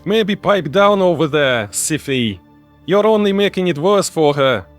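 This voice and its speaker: A man's voice: a little high, expressive, a little slow, soft background music. An actor playing a stern, sarcastic human man in a sci-fi audio drama, with a blunt way of talking. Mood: scolding.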